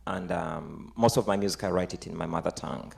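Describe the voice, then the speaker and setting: Male guest musician, Ugandan accent, Luganda mother tongue, low pitch with heavy gravel, explanatory delivery. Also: a little fast. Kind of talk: explanatory talk on music and tradition.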